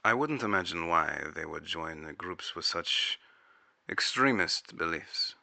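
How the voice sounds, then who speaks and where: Male intelligence interviewer played by an actor for audio drama: formal and probing, bright forceful edge, dry close sound. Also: deep voice, expressive, measured pace, gravelly, no room sound, full character voice. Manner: formal, inquisitive.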